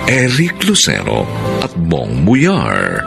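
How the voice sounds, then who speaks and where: Very deep, slow, solemn Filipino man. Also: huge pitch swings, gravelly. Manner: solemn, formal. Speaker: drama announcer and narrator. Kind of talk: dramatic radio drama narration. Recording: loud music under the voice. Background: loud music.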